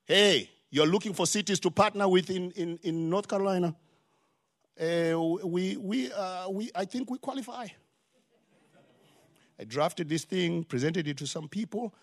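An older male pastor with a Zimbabwean accent preaches with emphatic force. His voice sits high for a man and climbs further when he drives a point home. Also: a little slow. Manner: emphatic preaching with rising exclamations. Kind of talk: sermon.

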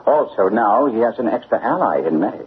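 Shrewd, exacting radio detective. Low, animated, formal delivery on a narrow, phone-like old recording. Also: male voice, a little fast, a little hiss or hum. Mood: assured, explaining. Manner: precise, formal, incisive delivery. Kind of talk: classic radio drama acting.

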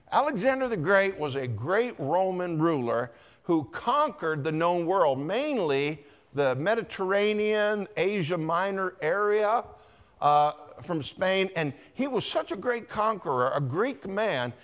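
Slow, lively-voiced pastor quizzing his flock. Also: sits high. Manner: teacherly, asks the congregation questions and calls on people by name. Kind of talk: interactive Bible teaching with quiz questions. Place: church.

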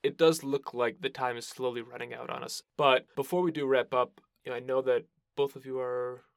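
A man's voice: medium pitch, steady tone, fairly quick, gravelly, dry recording, studio quality. A man hosting a podcast interview, talking in a casual, conversational style.

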